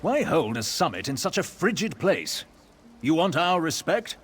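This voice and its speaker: A man's voice: fairly high pitch, big pitch swings, measured pace, noisy background. A man playing a haughty, easily offended elven nobleman in a fantasy video game, with a scornful manner. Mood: haughty indignation. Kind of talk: video game character acting.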